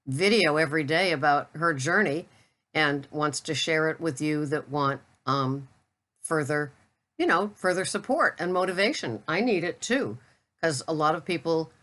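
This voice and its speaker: A woman's voice: low-pitched, moderate pace, a bit bright. An older woman vlogging in a chatty, rambling, self-deprecating way. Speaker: keto vlogger. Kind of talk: casual talking-head chat.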